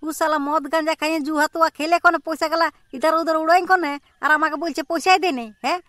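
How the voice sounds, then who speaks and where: A woman's voice: very high-pitched, fairly even intonation, rapid-fire, fairly bright tone, no room sound. A woman voicing an indignant young village son in a cartoon comedy, arguing insistently in a Purulia Bengali accent. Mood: angry, aggrieved. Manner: argumentative, repeatedly demanding agreement. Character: hot-headed, indignant young village son wanting marriage. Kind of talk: amateur cartoon comedy dialogue.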